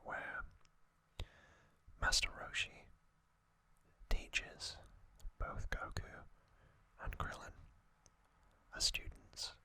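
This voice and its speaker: An adult ASMR host narrating in a soft, deliberate whisper, pausing often between short phrases. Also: dry recording. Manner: soft, deliberate, reflective with pauses.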